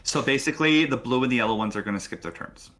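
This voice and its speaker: A man's voice: mid-range voice, fast, a bit bright, a little hiss or hum. A man narrating a tabletop game as game master, improvising and talking players through rules and turns.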